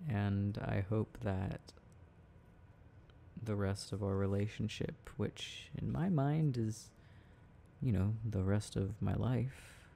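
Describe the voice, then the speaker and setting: Sweet, shy young boyfriend played by a male actor for ASMR roleplay: soft, tender, halting, slow and mellow, close-miked. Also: fairly low pitch, creaky, slight background noise. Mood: tender, earnest.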